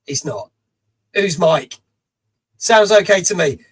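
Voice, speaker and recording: A middle-aged man with a rough, raised voice hosts a live stream from a small home studio. He chats loosely, reads out viewers' messages and swears casually. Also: high-pitched, expressive, slow.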